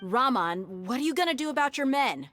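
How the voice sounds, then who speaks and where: A woman's voice: sits high, animated, fairly quick, fairly bright tone. A woman playing a tough, sardonic young mercenary in a game, with a dry, casual, blunt delivery. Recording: game music behind voice.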